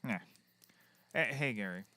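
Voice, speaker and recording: A man's voice: lowish voice, expressive, unhurried, sharp tone, dry recording. A man playing a folksy, self-pleased, dubious private eye in a scripted audio comedy, jaunty and exaggerated. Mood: jovial. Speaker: lead character.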